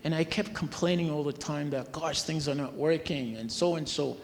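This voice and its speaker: A man's voice: fairly high pitch, normal speed, slight background noise. A man on a conference panel telling a personal anecdote, on a stage microphone in a conference hall. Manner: storytelling, quotes others. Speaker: conference speaker. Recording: faint ambience, long hall reverb.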